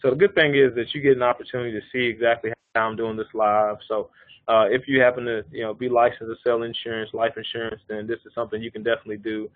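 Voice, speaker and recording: A man's voice: medium pitch, flat delivery, fast, no room sound. Man in his thirties on a phone line. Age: 30s. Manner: explains step by step, often checking in with "okay". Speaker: life insurance presenter. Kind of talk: live conference-call walkthrough. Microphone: phone or call line. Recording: narrow phone-line audio.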